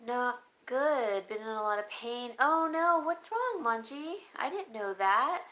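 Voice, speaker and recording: A woman vlogger chatting casually and rambling, answering comments, her voice raspy and broken by frequent coughs. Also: fairly high pitch, lively intonation, unhurried, pushed and bright. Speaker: home decor vlogger. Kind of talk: casual live-stream chat.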